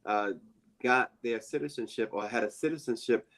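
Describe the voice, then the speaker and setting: A man's voice: mid-range voice, a bit bright. An older man with a mid-range voice, co-hosting a morning talk show. He chats easily and jokes with his co-hosts. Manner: chatty, jokey, quick to laugh. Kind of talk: casual panel discussion.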